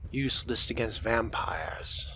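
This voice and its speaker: A man's voice: medium pitch, expressive, a little slow, slight background noise. A man role-playing a video game in character, speaking in a formal, theatrical manner. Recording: loud music behind the voice. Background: loud music.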